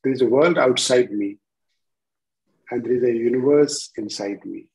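A man in his sixties with an Indian accent introducing and reciting his own poems deliberately, in short phrases with pauses. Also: mid-range voice, darkish tone, low-quality recording. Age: 60s. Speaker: poet reading own work. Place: indoors at home on a video call. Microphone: video-call device microphone.

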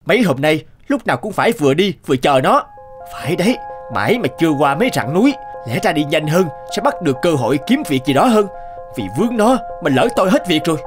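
An actor playing a brash, selfish young elder brother in a cartoon fairy tale. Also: male voice, on the high side, very animated, fast, over music. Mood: irritated, scheming.

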